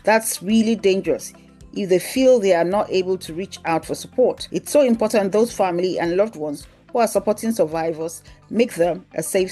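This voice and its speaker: A woman's voice: on the low side, a little mellow. A woman narrating a scripted news article aloud as a newsreel presenter.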